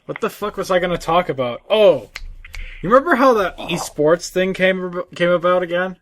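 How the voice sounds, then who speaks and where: Male podcast co-host, a little high in pitch, rambling, halting, sweary casual talker. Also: fairly bright tone. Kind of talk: casual chat.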